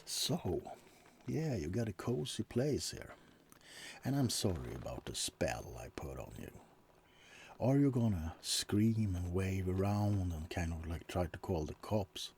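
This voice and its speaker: A man playing a suave, teasing vampire in a soft, often whispered bedside ASMR roleplay, murmuring with dramatic pauses. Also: deep voice, big pitch swings, a little hiss or hum. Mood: chatty, indignant.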